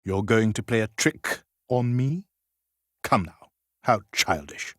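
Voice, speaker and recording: Aloof, slow, low-voiced game man. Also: expressive, a bit bright, dry recording, clean studio sound, exaggerated voice. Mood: unamused. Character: aloof, condescending adult man in a fantasy game.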